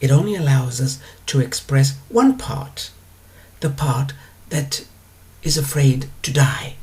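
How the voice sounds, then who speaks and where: A man talking alone as a spiritual teacher, explaining his ideas in a calm, unhurried way with drawn-out pauses. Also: mid-range voice. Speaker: spiritual teacher answering questions. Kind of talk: monologue lecture.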